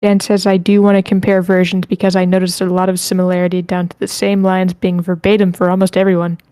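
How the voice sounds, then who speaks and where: A woman moderates an online group meeting in a casual, unpolished way. Her voice sits at an average pitch and the sound is very dry. She reads messages out and drops small muttered asides to herself as she goes. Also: flat delivery, fast talker, mellow, clear, resonant tone, dry recording. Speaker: host moderating a script feedback session.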